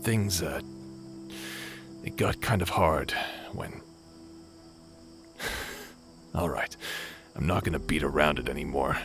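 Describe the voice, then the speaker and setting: A man's voice: rumbling low, gravelly, a bit bright, soft background music, heavily acted. A man playing a remorseful former space pirate captain and awkward brother in a dramatic sci-fi audio roleplay. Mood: remorseful, hesitant. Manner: halting, sighing, hesitant delivery.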